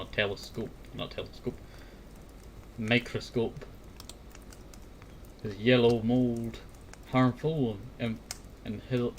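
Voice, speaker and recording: A man with a mid-pitched voice rambles in a casual, homemade talk. He circles back over words and phrases and now and then reads aloud. There is clear noise in the recording. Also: darkish tone, poor audio. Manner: meandering, repeats words and verbal tag phrases. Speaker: host of a fireside talk series. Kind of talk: rambling casual chat, some reading aloud.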